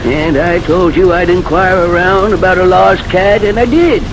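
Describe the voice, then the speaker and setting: A man's voice: high-pitched, moderate pace, background noise. An actor playing a patient, skeptical man in an old-time radio drama, humoring someone in a soothing, hesitant way. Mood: soothing, doubtful. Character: patient, skeptical male visitor humoring a troubled woman. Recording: old radio recording with music behind.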